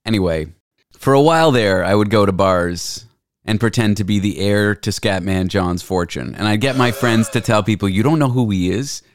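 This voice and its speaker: A man's voice: on the low side, normal speed, no echo, studio quality. A man hosting a podcast in casual chat, playful and jokey, recorded over a remote call. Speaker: podcast host.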